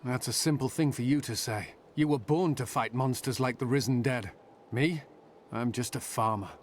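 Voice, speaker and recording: A man's voice: medium pitch, normal speed, slight background noise, lightly acted. Man playing an earnest, humble militia captain. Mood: humble, burdened. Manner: earnest, self-doubting. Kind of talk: fantasy game character acting.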